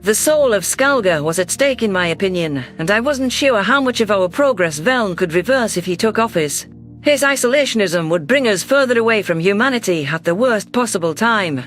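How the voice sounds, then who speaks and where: Audiobook narrator for science fiction: medium pitch, scripted first-person storytelling, light music bed. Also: fairly bright tone.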